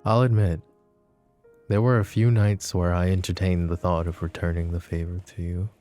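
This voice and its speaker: Guy playing a low mellow mafia boss for asmr roleplay, soft n teasing, possessive, kinda world-weary. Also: flat delivery, moderate pace, soft background music, natural voice. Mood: wistful, confessing. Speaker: voice actor. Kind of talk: intimate ASMR roleplay acting.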